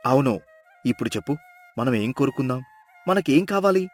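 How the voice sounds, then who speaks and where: A man voicing a poor, greedy village husband in a storybook drama, talking in a lively, excitable way. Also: mid-range voice, normal speed, faint music behind, close to an everyday voice. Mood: eager.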